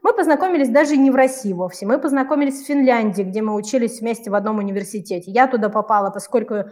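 Female interviewee. Brisk, fluent conversational storytelling, voice slightly on the high side. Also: darkish tone. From Murmansk region, Russian far north. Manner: talkative, fluent, illustrates with little quoted examples. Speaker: interview guest, Russian language teacher living in Tanzania.

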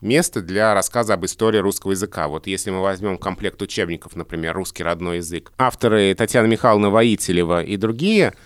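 A man co-hosting a podcast, explaining school language courses in a conversational way, with animated rises in pitch. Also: fast talker, few pauses, clean tone, no echo, clean studio sound. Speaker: podcast co-host, language expert.

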